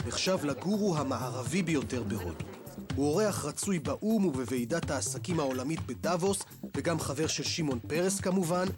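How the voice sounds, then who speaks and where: Very fast Israeli male reporter. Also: a little high. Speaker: reporter, narrator. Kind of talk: scripted documentary narration. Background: music.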